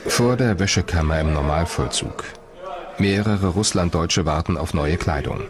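Deep-voiced male documentary narrator. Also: normal speed, crowd noise.

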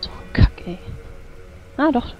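A person chatting casually as a player in a tabletop role-playing game. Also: takes it slowly. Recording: dull lo-fi voice chat, faint music.

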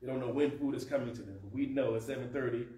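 A man in his thirties with a dry mouth from fasting, talking conversationally at a lectern in a carpeted prayer room. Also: mid-range voice. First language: not Arabic. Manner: conversational, animated, gesturing at a lectern. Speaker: speaker teaching at a mosque. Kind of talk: informal teaching talk. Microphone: far camera. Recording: roomy, distant camera sound with faint hum.

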